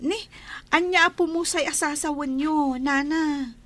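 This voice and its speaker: A woman's voice: high-pitched, measured pace, fairly bright tone, no echo. A woman acting the part of a cheerful, sociable young single woman in an Ilocano radio drama. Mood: surprised.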